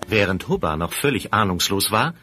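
A man's voice: sits low, very animated, moderate pace, sharp tone, heavily acted. A man narrating a scripted 1970s children's audio drama as its storyteller.

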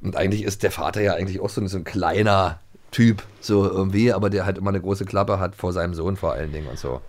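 A man's voice: sits low, quick pace, a little mellow. A man in his fifties co-hosting a podcast, chatting casually and rambling with many fillers and restarts. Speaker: podcast co-host. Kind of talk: casual chat.

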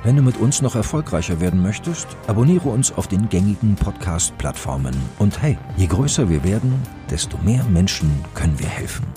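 A man with a deep, dark voice delivers scripted promotional narration. His intonation moves in lively swings, with music playing behind him. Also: brisk, few pauses. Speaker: podcast outro announcer.

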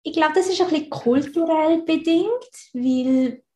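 A woman's voice: sits high, a little slow, a little mellow. Chatty Swiss woman guest, roomy echo. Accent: Swiss German. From Switzerland. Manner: chatty, laughs easily, frequent restarts. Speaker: guest, recruiting consultant. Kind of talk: casual interview talk.

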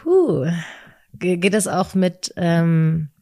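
Female podcast co-host, lower voice, hesitant and thoughtful in casual talk. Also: sing-song, extremely slow, warm tone. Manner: hesitant, searching for words. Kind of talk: casual conversation about relationships.

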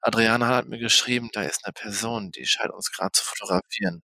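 A man's voice: lowish voice, brisk, vocal fry, sharp tone, dry recording, heavily acted. A man playing a wary, alert vampire enforcer in an audio drama, talking in short, hesitant phrases. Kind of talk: hobby audio drama dialogue.

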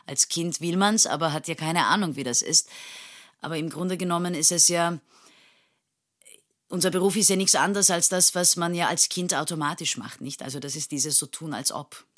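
A woman's voice: low voice, a bit bright. A thirty-something woman from Turkey speaks in an easy interview conversation, thinking aloud as she goes. Her sentences circle back with fillers and restarts, and she often breaks into a laugh. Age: 30s. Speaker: actress and interview guest.

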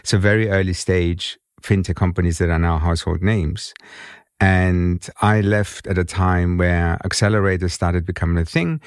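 A man's voice: low voice, steady tone, moderate pace, clear, resonant tone, dry recording, studio quality. A man in his fifties who grew up in Germany, with an accent that mixes British and German, telling his story as an interview guest with frequent restarts and repeated words. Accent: mixed British and German. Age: 50s. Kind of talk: conversational interview.

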